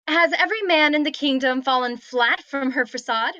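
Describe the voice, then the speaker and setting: A woman's voice: high-pitched, normal speed, pushed and bright, no room sound, highs cut off. A woman at a table read playing an imperious young princess with a haughty, declamatory delivery. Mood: indignant. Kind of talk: table read of a comedy fantasy script.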